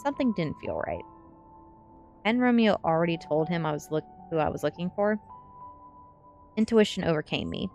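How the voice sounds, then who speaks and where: A woman with a low voice reads a novel aloud in an ASMR style. It is first-person story narration, set over faint background music. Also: big pitch swings, moderate pace, long pauses, darkish tone. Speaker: streamer reading a novel aloud.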